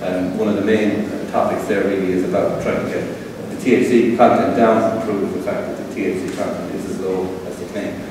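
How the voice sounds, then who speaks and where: A middle-aged man with an Irish accent giving a relaxed conference talk with a live demo, with frequent fillers, to a seated audience in an event hall. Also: sits low, brisk, hardly any pauses, crowd noise. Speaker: tech presenter. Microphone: room pickup from far camera. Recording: roomy hall echo from far off.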